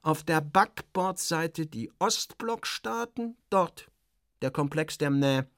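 A man reading an audiobook and voicing a stiff, evasive official who explains things in a brisk, officious, emphatic way. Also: a little high, animated, a bit bright, dry recording, studio quality, voice pushed up, full character voice. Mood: brisk, informative. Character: stiff, evasive male official guiding a visitor.

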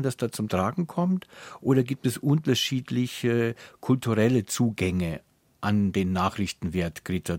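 A man hosts an interview in a fairly low voice. His phrasing is articulate and reflective, and the sound is dry, with very little room around it. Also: dry recording. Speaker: radio interviewer. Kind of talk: interview questions and moderation.

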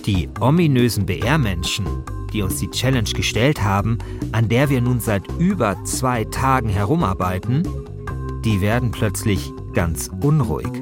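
A man's voice: on the low side, expressive, a little slow, over music. A man narrating a scripted first-person podcast in a wry, self-deprecating manner. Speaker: host and narrator.

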